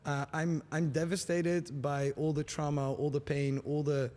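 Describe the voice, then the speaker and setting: A man's voice: fairly high pitch, flat delivery, unhurried, a little mellow. A man in his twenties from Afghanistan, raised in the Netherlands, talking earnestly and reflectively as a podcast guest, often filling his sentences with "like". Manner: earnest and reflective, with many "like" fillers. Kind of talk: candid podcast conversation. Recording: long reverb.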